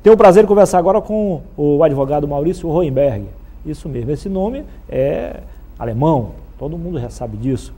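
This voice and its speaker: A Brazilian man with a husky voice, hosting a television talk show in a chatty presenting style. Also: on the high side, lively intonation, brisk, warm tone. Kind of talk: live show presenting with sponsor read and chatty interviewing.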